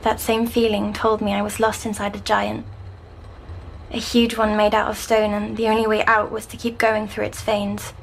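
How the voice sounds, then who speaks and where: An actress playing a timid young girl troubled by nightmares in an audio drama, speaking hesitantly and softly. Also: mid-range voice, completely flat delivery, creaky, a little hiss or hum, natural voice. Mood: haunted, wondering. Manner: hesitant, halting, softly descriptive. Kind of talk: scripted audio drama acting.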